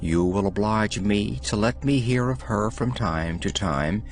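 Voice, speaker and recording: Male audiobook narrator, very deliberate pacing, measured and solemn, faint music underneath. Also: fairly low pitch. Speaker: audiobook reader. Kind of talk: scripted reading of devotional letters.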